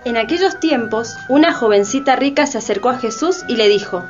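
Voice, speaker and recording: A woman's voice: fairly high pitch, animated, fairly quick, natural voice. A woman narrating a radio drama from a script. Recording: dull lo-fi, faint music behind.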